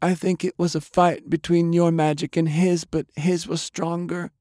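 A male narrator plays an undead woman in an audiobook drama, her voice lifted high and soft. She pleads with sorrow and tenderness, yet stays resolute. The sound is close and dry. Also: flat delivery, normal speed, no room sound, close to an everyday voice. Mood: pained, resigned. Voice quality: trembling.

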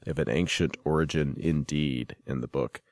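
A man's voice: very deep voice, fairly even intonation, normal speed, darkish tone, no echo. A man hosting a podcast, giving introductions and putting questions to a guest. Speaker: podcast host and interviewer.

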